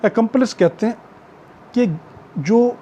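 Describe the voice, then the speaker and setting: Male lecturer, Pakistani accent, high register with a mellow tone, emphatic and repetitive explaining, some background noise. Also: very slow, dull audio. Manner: emphatic, repetitive, checks understanding with rhetorical questions. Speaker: law teacher. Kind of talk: explanatory exam-prep lecture with acted-out examples.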